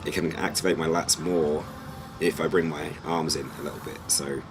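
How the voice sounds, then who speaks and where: A young man with a hoarse, fading voice, casually narrating his gym workout as a fitness vlogger, explaining with a relaxed, slightly rambling manner. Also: rumbling low, moderate pace, music in the background.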